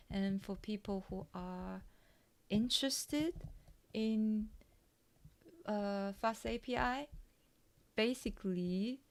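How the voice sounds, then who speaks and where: Slow, hesitant woman. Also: medium pitch. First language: Taiwanese Mandarin. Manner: hesitant, many fillers and pauses. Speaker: coding tutorial host. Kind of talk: soft-spoken ASMR coding walkthrough.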